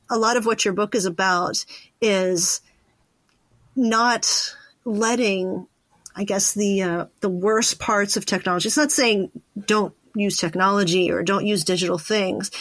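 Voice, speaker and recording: Woman, podcast interviewer, medium pitch, dry studio-like sound, casual, emphatic repetition. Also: unhurried, fairly bright tone, no echo.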